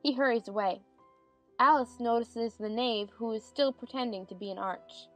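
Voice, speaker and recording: Fairly high-voiced woman narrator. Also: normal speed, fairly bright tone, soft background music. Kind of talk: scripted narration of stage directions.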